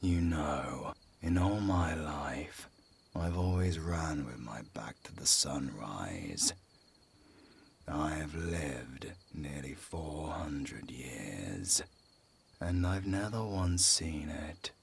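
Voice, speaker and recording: An actor playing a dying four-century-old male vampire villain in an audio drama, weary and wistful, with a gravelly, breathy whisper and halting delivery. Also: extremely low pitch, lively intonation, a little hiss or hum, exaggerated voice. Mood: resigned, wistful. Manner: halting, slow, sighing. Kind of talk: cinematic audio drama acting.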